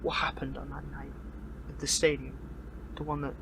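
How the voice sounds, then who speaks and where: A man playing a bitter, defiant captured superhero in an audio roleplay, speaking in a strained, halting, taunting way. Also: mid-range voice, monotone, creaky, noisy background, natural voice. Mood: pained, pleading. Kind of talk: dramatic audio roleplay monologue.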